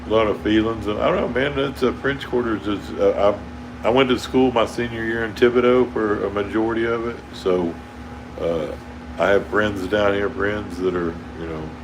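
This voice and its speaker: A man with an Oklahoma drawl talks to his livestream viewers in a rambling, easygoing way, chuckling now and then. His voice sits a little low. An air conditioner hums steadily behind him. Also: steady tone, normal speed, background noise. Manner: rambling, laid-back, chuckles often. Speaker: towboat worker livestreaming. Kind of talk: casual livestream chat with viewers.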